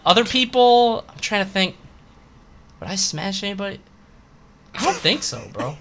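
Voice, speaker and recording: A man's voice: sits high, big pitch swings, normal speed, fairly bright tone. A man co-hosting a podcast, reading out questions and bantering casually in a blunt, sarcastic, sweary way.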